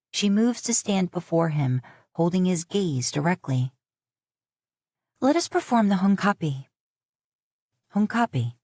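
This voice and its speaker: Woman narrating a story, low and dry. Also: no echo. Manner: steady, measured storytelling. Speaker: audiobook narrator. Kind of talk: fanfiction audiobook reading.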